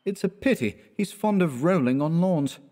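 A man's voice: a little high, lively intonation, normal speed, a little mellow, voice pushed up. A man voicing a breezy, flippant young male art student who is easily flustered, in an audiobook. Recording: echoey hall-like reverb.

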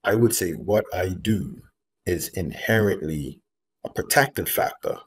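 Deep-voiced man, earnest and measured. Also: a little hiss or hum. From Bermuda. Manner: earnest, measured, repeats key phrases for emphasis. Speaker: guest, homeless advocate. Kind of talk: reflective interview talk. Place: room at home. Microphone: video call, laptop camera.

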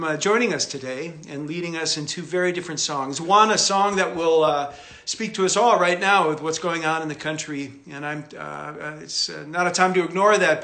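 A man in his sixties hosting a livestream from a cluttered room at home, speaking earnestly and haltingly with many fillers. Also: on the high side, creaky, sharp tone. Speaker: host. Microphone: phone camera.

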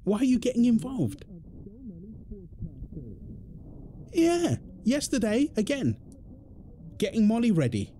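A man's voice: very high voice, wildly swooping pitch, normal speed, dark tone, slight background noise, exaggerated voice. A British man playing an exasperated, defensive husband in an audio roleplay, arguing in an insistent, repetitive way. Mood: heated, indignant. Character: exasperated, defensive husband and father quarrelling indignantly. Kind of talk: dramatic one-sided argument roleplay.

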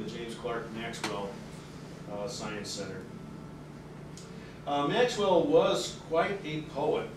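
Man lecturing in a very echoey room. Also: mid-range voice. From native English speaker. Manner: conversational, many fillers and restarts, reads quotes aloud with rising energy. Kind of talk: public lecture. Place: carpeted seminar room with audience. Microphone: room mic, away from the speaker at a lectern. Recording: very echoey room from far off, with noise.

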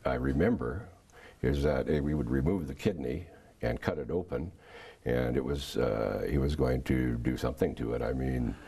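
Older man, very deep voice, husky, hesitant, halting answers, small studio. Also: warm tone. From Canada. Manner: hesitant, halting, with many restarts and fillers. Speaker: guest giving a testimony. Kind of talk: casual interview answers. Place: small TV studio set, indoors.

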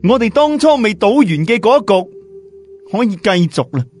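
A man's voice: high-pitched, animated, moderate pace, darkish tone, faint music behind, voice pushed up. A man with a Cantonese accent voicing a confrontational male rival in an audio story, pointed and challenging. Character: confrontational male rival gambler, near middle age.

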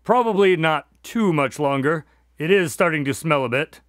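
An actor playing an earnest, slightly puzzled doctor sidekick in a radio drama, plainspoken, polite and a bit hesitant. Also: male voice, fairly high pitch, animated, moderate pace, no room sound, clean studio sound, natural voice. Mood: practical, cautious. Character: earnest, mildly puzzled male doctor, detective's practical sidekick.

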